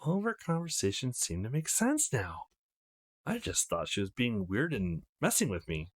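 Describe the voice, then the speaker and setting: A man's voice: mid-range voice, wildly swooping pitch, moderate pace, no echo. A man voicing an easygoing young male protagonist of a visual novel, casual and wry. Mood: puzzled. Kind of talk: acted visual novel read-aloud.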